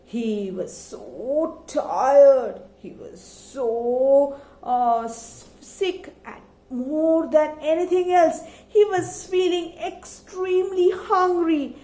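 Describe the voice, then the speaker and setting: A woman tells a children's story in a high-pitched voice. She takes it slowly and dramatically, checking in with questions. The room around her is echoey. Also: dark tone. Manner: dramatic, asks the listeners questions.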